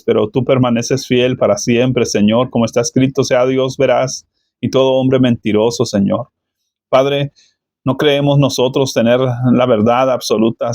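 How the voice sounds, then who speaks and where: A man leading a spoken prayer, slow and measured, calling on the Lord between nearly every phrase. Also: mid-range voice, a little mellow, dry recording, studio quality. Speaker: praying minister.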